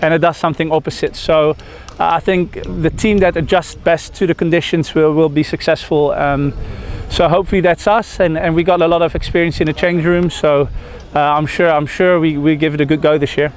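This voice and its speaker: A man's voice: on the high side, steady tone, brisk, few pauses, slight background noise. A man in his thirties from the Netherlands, a cricketer giving a press interview outdoors, hesitant with many fillers and restarts.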